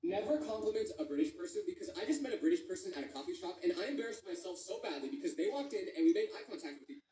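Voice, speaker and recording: A man's voice: high-pitched, racing, slight background noise. A man telling a funny story about himself to camera, acting out his own lines. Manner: animated, self-mocking, acts out his own lines. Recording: roomy, distant sound with faint ambience.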